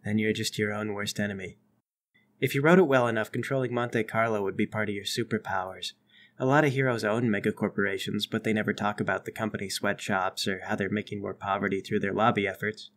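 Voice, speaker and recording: Bright-voiced man running a tabletop game. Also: fairly low pitch, steady tone, quick pace. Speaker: game master. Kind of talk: tabletop game narration and banter.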